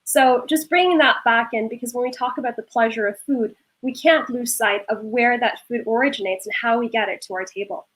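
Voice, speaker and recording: A woman's voice: fairly high pitch, a little fast, few pauses, fairly bright tone, poor audio. A woman who grew up in Norway, speaking earnestly and with passion as a panelist in a discussion. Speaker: panelist, food startup founder.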